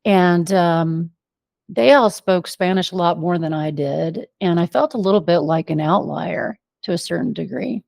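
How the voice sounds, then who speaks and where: An American woman speaks as an interview guest, telling personal stories in a reflective, meandering way. Her voice sits low for a woman, with plenty of vocal fry. The recording is close and dry, with little room sound. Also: measured pace, a little mellow, no echo. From United States, Venezuela and Argentina.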